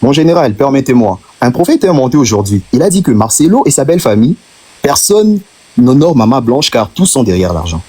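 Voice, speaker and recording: A man's voice: medium pitch, big pitch swings, brisk, warm tone. A Congolese man with a Congolese French accent, making an impassioned, insistent argument on a live stream, piling up rhetorical questions and repeating phrases for emphasis. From Democratic Republic of the Congo.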